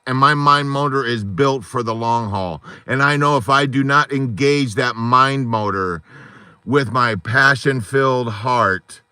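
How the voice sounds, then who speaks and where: A man hosting a support group live stream, giving a fervent, rambling pep talk full of metaphors, his voice hoarse, from inside a parked car at night on a phone. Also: mid-range voice, unhurried, bright, forceful tone, dry recording.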